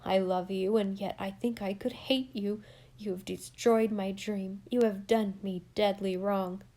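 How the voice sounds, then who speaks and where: A woman's voice: medium pitch, flat delivery, a little slow, dark tone, close to an everyday voice. A woman reading as a shy, wounded older man. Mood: anguished anger. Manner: impassioned, pleading.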